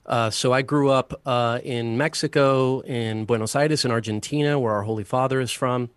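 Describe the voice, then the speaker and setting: A man from the United States talks through his life story at a reflective, unhurried pace. His voice is middle-pitched, and his speech is full of small fillers. The sound is dry, with no room around it. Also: fairly even intonation, smooth, dry recording. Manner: thoughtful, unhurried, with frequent fillers and restarts. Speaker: interview guest, deacon.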